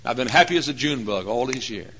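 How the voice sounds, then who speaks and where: A man in his forties preaching a folksy, humorous sermon, swinging between hushed asides and loud emphatic points. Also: medium pitch, lively intonation, fast talker, a little hiss or hum. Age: 40s. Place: church.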